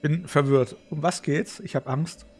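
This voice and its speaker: A man's voice: on the high side, normal speed, husky. A man doing casual game commentary, muttering playful asides and reading dialogue aloud. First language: German. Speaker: gamer playing and commenting. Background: faint game music.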